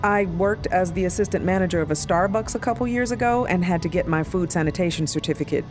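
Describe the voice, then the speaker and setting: A woman voicing a conscientious, somewhat anxious young woman in an audio drama, talking in an animated, apologetic way. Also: on the low side, fast talker, over music, lightly acted. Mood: worried.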